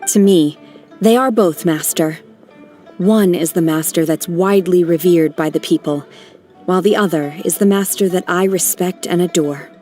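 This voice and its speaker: Woman voicing a low, calm, reserved disciple. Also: close to an everyday voice. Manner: quiet, formal, measured. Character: young adult female disciple, calm, reserved, devoted. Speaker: disciple working at a restaurant. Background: game music.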